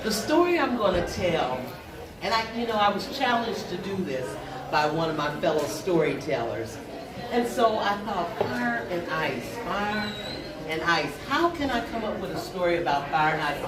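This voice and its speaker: A storyteller with a strong, loud voice who talks directly to the audience. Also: medium pitch. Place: bar venue with a live audience. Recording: roomy echo from a distant mic with loud crowd.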